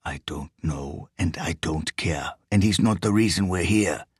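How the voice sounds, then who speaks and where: A man voicing a menacing foreign burglar with a foreign accent, talking in a low, curt, impatient whisper. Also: measured pace, husky, dry recording, clean studio sound, exaggerated voice. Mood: hushed, curt. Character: menacing foreign male burglar, bass-voiced, assertive.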